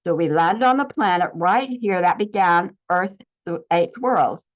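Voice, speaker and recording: A woman's voice: fairly low pitch, unhurried. A woman giving an earnest spiritual teaching talk, speaking in declarations with frequent restarts. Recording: slightly dull lo-fi recording.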